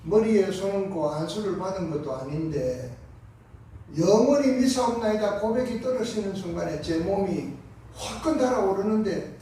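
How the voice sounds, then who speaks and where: A pastor with a Gyeongsang accent delivering a Sunday sermon, impassioned, moving between hushed and loud passages. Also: male voice, high-pitched, expressive, moderate pace, slight background noise. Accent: Gyeongsang Korean. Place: large church sanctuary. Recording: echoey sanctuary from far off.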